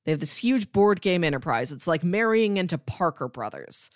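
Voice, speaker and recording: A woman co-hosting a podcast in a casual, informal chat, describing things in a conversational and animated way. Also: fairly low pitch, normal speed, no room sound, studio quality. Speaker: podcast co-host. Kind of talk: casual chat, pitching movies.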